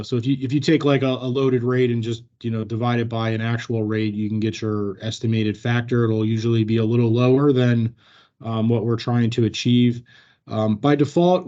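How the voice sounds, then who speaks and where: Male company trainer, mellow tone, halting explanatory delivery, informal Q&A, dull lo-fi meeting sound. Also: mid-range voice. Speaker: company trainer answering staff questions. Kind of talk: informal work training discussion.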